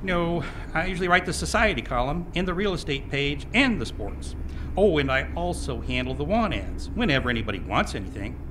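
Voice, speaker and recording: A man's voice: fairly high pitch, very animated, a little fast. An actor playing a chatty small-town male newspaper reporter, rambling, eager and cheerfully oblivious. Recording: noticeable background hiss.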